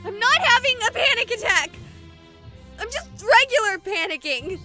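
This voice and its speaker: An actress voicing a high-strung, easily panicked young woman streamer in a dramatic visual novel style. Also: very animated, moderate pace, music in the background. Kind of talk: animated visual novel voice acting.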